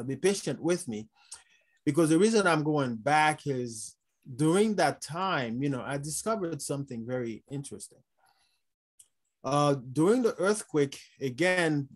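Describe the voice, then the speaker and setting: A man in his fifties with a Haitian accent, speaking as a panelist on a video call from his office, chuckling often and pausing on fillers. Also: medium pitch. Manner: warm, chuckling, unhurried with many fillers. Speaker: panelist, chief medical officer. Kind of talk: conference talk over video call. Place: office with blinds and glasses display. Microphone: video call webcam.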